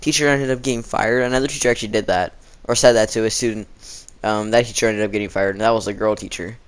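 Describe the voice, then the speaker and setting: Halting teenage boy storyteller with sore throat. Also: lowish voice, fairly even intonation, smooth. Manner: halting, informal, slangy, dramatizing quotes. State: sore throat, frequent throat clearing. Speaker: video storyteller. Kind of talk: casual rambling storytime.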